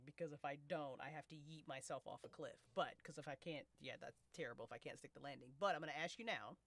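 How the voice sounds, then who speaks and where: Female host, slightly low register, highly animated and forceful, loose slangy casual live-show banter. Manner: animated, sweary, emphatic, slangy. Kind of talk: casual live chat with podcast cast and crew.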